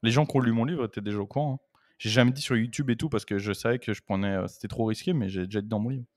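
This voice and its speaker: Low-voiced guest, rambling and blunt. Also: male voice, racing, a little mellow, dry recording. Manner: rambling, many fillers and restarts, blunt slang. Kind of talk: casual live-stream chat.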